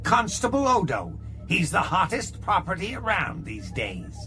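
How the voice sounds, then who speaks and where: Scheming alien barkeeper, high wheedling voice, swooping, slow, music under it. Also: male voice, wildly swooping pitch, full character voice. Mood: smug. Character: scheming male alien barkeeper, greedy and jittery. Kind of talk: audiobook character voice. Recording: music playing behind the voice.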